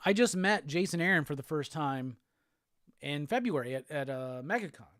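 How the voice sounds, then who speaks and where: High-voiced Southern man chatting. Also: moderate pace, no echo, studio quality. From Alabama, US South. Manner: relaxed, rambling, answers chat comments with fillers. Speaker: host. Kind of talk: casual live-stream chat.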